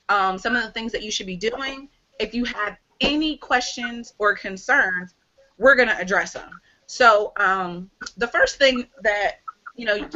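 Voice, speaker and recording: A woman leading a live sales training on a video call, energetic and chatty with many fillers. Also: medium pitch, muffled recording. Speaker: team leader hosting a sales training call.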